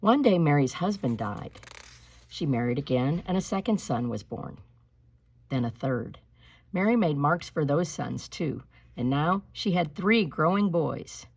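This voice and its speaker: A woman with a low, husky voice reads a storybook aloud in the manner of a teacher. Her phrasing is deliberate, expressive and instructive. The sound is close and dry, with very little room around it. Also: no room sound. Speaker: teacher reading a picture book aloud to pupils.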